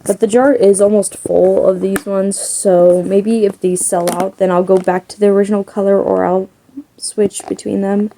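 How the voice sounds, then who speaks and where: A woman's voice: medium pitch, level tone, moderate pace, vocal fry, warm tone, a little hiss or hum, rough recording. A young woman chatting casually as a crochet vlogger, rambling with lots of fillers. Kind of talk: casual chat.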